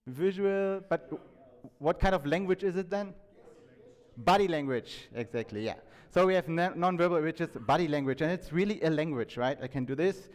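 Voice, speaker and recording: A man giving an informal talk to an audience, conversational and halting, often restarting his sentences and checking in with questions. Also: fairly high pitch. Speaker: conference speaker. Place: indoor talk venue, reverberant room. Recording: echoey hall with long reverb.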